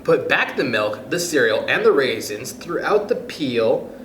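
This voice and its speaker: A man's voice: mid-range voice, big pitch swings, measured pace, fairly bright tone. A man hosting a children's storytime, reading a picture book aloud expressively and talking directly to young listeners. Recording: roomy echo with faint background noise.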